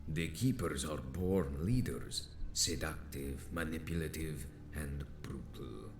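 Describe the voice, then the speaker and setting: A man's voice: rumbling low, slow pace, a little hiss or hum, exaggerated voice. An actor playing an ancient, stern male vampire sect elder in a video game, speaking in a grave, formal and imperious way. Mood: proud, grave. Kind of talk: theatrical video game dialogue. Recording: roomy echo with game music behind.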